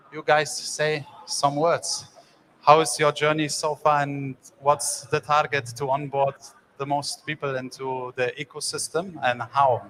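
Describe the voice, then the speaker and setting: Flat-toned man moderating a panel. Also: on the high side, rough recording. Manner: loose, rambling, informal phrasing. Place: conference stage.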